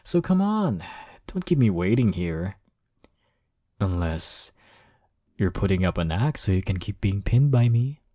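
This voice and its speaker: Deep-voiced, cocky flirtatious college rival acted by a man. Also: sing-song, unhurried, pauses often, dry recording, clean studio sound. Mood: low, teasing. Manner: smug, flirtatious, drawling teasing with soft chuckles. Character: cocky, teasing male college rival smitten with listener. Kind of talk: intimate romantic audio roleplay.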